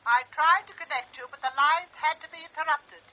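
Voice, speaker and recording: An actress playing a polite, businesslike telephone operator. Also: female voice, sits high, fairly quick, close to an everyday voice. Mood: brisk. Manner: clipped, businesslike. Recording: dull old radio drama.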